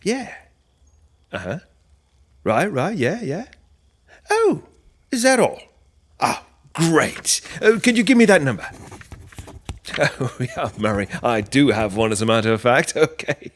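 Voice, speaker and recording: An actor playing a chatty, courteous slasher killer in a comedy horror audio play, polite, hesitant and businesslike. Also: male voice, medium pitch, sing-song, measured pace, pauses often. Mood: businesslike, affable.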